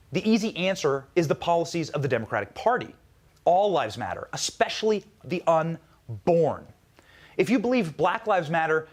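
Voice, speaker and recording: A man delivering a scripted political speech in an emphatic manner with dramatic pauses. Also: fairly high pitch, breathy edge.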